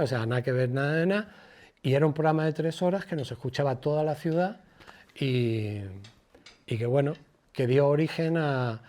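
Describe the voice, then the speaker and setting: An older man with a Spanish accent from Spain gives an informal lecture, colloquial and digressive, halting and repeating words as he goes. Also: mid-range voice, darkish tone. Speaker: guest lecturer, former radio presenter. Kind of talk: informal public lecture with personal anecdotes.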